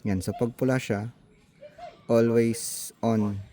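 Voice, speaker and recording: A man's voice: mid-range voice, steady tone, slow, warm tone. A man with a Filipino accent giving a casual hands-on gear demonstration, thinking aloud in a tentative way. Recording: faint background noise.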